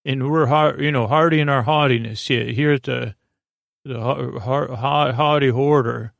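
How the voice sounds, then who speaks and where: Man, podcast host, creaky mid-pitched voice, meandering sleepy-time talk, halting delivery, very dry sound. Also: steady tone, dry recording. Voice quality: gravelly, creaky voice. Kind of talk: rambling bedtime monologue.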